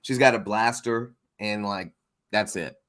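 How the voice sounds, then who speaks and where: A man hosting a casual interview, talking in an animated, rambling, slangy way. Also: fairly low pitch, unhurried. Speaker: interviewer and host of a comics breakdown show.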